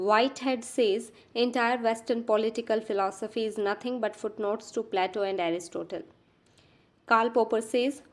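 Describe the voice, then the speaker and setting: A woman presenting scripted educational narration, reading quotations aloud in a measured, deliberate manner for revision. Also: fairly high pitch.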